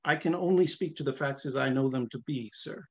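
A middle-aged man reading a dialogue from a novel aloud in a formal, measured manner. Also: mid-range voice, breathy edge. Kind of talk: dramatic reading of a question-and-answer hearing. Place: small room at home, video call.

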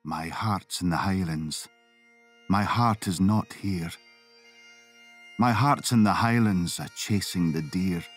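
A man's voice: low voice, takes it slowly, pauses often, faint music behind. A man giving a dramatic scripted narration as an audiobook narrator. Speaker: voice-over artist and audiobook narrator.

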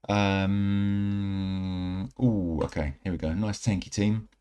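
A man in his thirties giving casual, rambling commentary over mobile game footage, a little croaky from a night out. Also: on the low side, slow. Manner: relaxed, rambling, self-correcting. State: slightly croaky from singing. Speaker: gaming channel host. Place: indoor room at home.

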